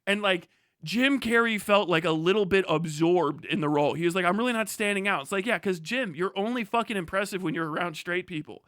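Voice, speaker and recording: A man in his thirties chatting casually as a livestream host, swearing freely and riffing in a sarcastic, animated way. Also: sits high, a little fast, dry recording, clean studio sound. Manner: profane, animated, sarcastic ranting.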